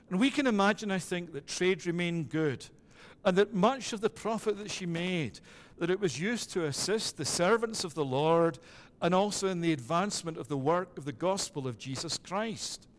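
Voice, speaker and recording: A middle-aged Scottish man preaching a sermon as pastor, measured and deliberate, with a habit of repeating "it is that.". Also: high-pitched, big-room sound. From Scotland.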